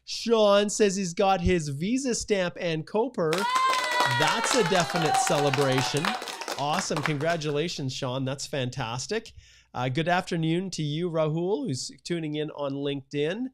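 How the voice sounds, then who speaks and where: A man's voice: a little high, a little slow. A man hosting a live-streamed immigration Q&A, chatting casually and reading out viewer comments, with lots of "uh" fillers. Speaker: immigration lawyer, stream host.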